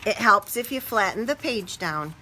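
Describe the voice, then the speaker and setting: A woman's voice: medium pitch, moderate pace, a little hiss or hum, poor audio. A middle-aged American woman teaching kindergarten, talking playfully to young children as she leads a read-aloud. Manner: animated, playful, exaggerated questions and reactions as to small children. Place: small classroom, indoors.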